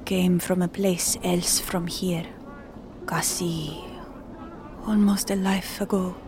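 An actress playing a frightened, half-mad woman with a Spanish accent, halting and haunted, slipping Spanish words into her English. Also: on the low side, flat delivery, noisy background. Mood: dazed, hushed. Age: middle-aged. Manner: halting, haunted, mixing Spanish into English, often dropping to a whisper. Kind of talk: audio drama acting.

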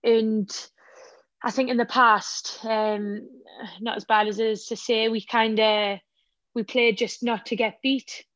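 Northern irish woman, bit croaky, halting and emotional, dry close sound. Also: fairly high pitch, level tone, fairly bright tone, no echo, dull audio. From Northern Ireland. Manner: halting, many restarts, emotional. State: slightly croaky voice. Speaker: footballer and pundit guest. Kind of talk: radio interview.

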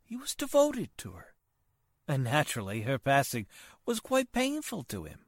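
A polite, worried gentleman in an old-time radio play, acted by a man. He speaks formally and haltingly, his pitch rising and falling widely, in a dry, close recording. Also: huge pitch swings, no echo. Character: polite, hesitant, worried nephew of a wealthy man. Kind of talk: 1940s radio drama acting.

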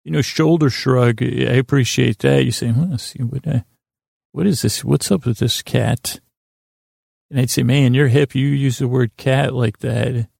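A man with a creaky voice hosting a bedtime podcast, rambling in a meandering, halting and repetitive way to help listeners fall asleep. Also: mid-range voice, steady tone, darkish tone, no room sound, studio quality. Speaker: podcast host.

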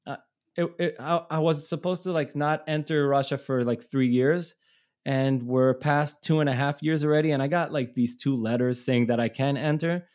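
A man's voice: on the high side, fairly even intonation, moderate pace, a little mellow. Rambling Israeli-born musician, storytelling man. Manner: rambling, many restarts and laughs. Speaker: touring musician, guest. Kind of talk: casual storytelling.

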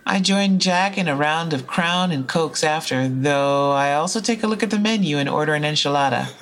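A woman's voice: low voice, normal speed. A woman playing a frank, foul-mouthed time traveler in an audio drama, speaking and narrating in a casual, wry way. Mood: tipsy, candid. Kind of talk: audio drama dialogue and first-person narration.

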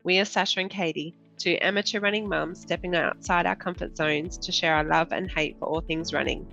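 A woman's voice: lowish voice, fairly even intonation, a little fast, gravelly, sharp tone, highs cut off, faint music behind. A woman in her forties with an Australian accent, co-hosting a casual running podcast, her voice nasal from a cold. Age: 40s. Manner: chatty, many fillers and laughs. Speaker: podcast co-host. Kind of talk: casual chat.

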